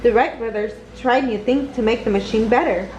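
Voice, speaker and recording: A woman reading a children's picture book aloud in a deliberate, expressive storytelling manner. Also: a little high, muffled recording, a little hiss or hum. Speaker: storybook reader.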